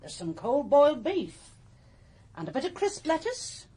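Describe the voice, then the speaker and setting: A woman's voice: high voice, very animated, unhurried, dark tone. An actress playing a kindly, plain-spoken old country landlady in a radio drama, with an English accent and a homely, good-humoured, matter-of-fact manner. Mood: practical. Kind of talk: naturalistic radio drama acting.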